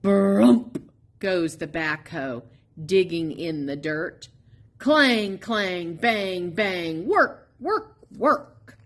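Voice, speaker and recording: A woman reading a children's picture book aloud expressively, in a sing-song, drawn-out way with playful emphasis on sound words. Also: lowish voice, expressive. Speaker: storybook reader at a public library.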